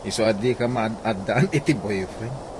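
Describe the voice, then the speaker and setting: A man's voice: on the low side, moderate pace, noisy background, close to an everyday voice. A man playing a teasing elder brother type in a radio drama.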